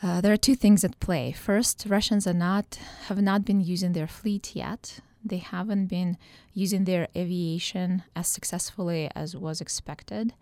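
A woman from Ukraine, a political science professor, answering radio interview questions thoughtfully with frequent pauses and fillers. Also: on the low side, steady tone, warm tone, dry recording. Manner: thoughtful, with many hesitations and fillers.

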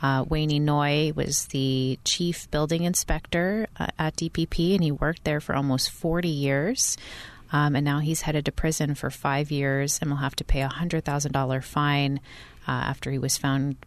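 A woman's voice: deep voice, fairly even intonation, moderate pace. A woman, a news reporter explaining things conversationally as an interview guest, with frequent hesitations.

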